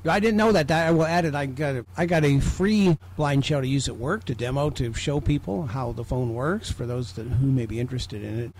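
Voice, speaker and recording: A man's voice: medium pitch, fairly quick, few pauses, a little mellow, rough recording. American man chatting, faint background noise. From Illinois, United States. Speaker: guest on a group call. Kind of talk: casual explanatory chat. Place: small home office.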